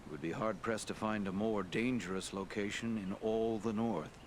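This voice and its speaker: A man playing a wise, courteous lord who counsels heroes in a fantasy game, measured, formal and grave. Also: fairly low pitch, fairly even intonation, a little hiss or hum, natural voice. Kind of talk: dramatic fantasy game acting.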